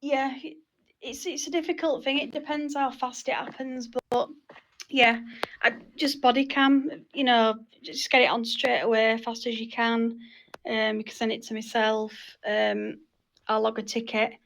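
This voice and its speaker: Female meeting leader, higher-pitched, creaky, explains hesitantly in an informal way. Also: level tone, measured pace, a bit bright, slight background noise, low-quality recording. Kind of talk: informal group meeting talk.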